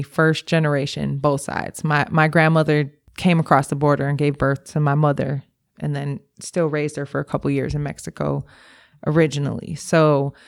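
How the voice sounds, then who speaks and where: Candid woman with a gravelly voice. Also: low-pitched. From Milwaukee, USA. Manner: candid, emphatic, slangy. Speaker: executive director, guest. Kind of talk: reflective interview answers.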